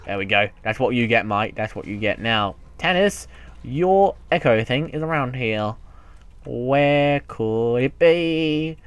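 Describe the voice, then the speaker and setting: Male let's player, casual and rambling, mid-pitched, self-mocking, talks to himself while playing. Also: animated, slow, clear, resonant tone, no echo, muffled recording. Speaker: gaming YouTuber. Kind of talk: casual let's play commentary.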